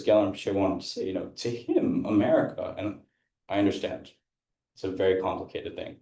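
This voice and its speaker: A man from India speaks for a Buddhist teacher before an audience, rambling and restarting often. His voice sits a little low and sounds warm and relaxed. The room adds a noticeable echo. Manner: loose, rambling, many fillers and restarts. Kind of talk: interpreting a dharma talk, casual.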